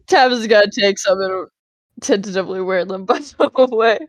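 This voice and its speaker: A woman's voice: medium pitch, normal speed, no room sound. A woman playing a tabletop game, joking in casual banter.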